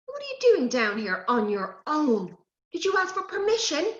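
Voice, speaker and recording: A woman's voice: high voice, wildly swooping pitch, normal speed, voice pushed up. A woman voicing a stern, cross farmer father scolding his children. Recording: roomy, slightly dull recording.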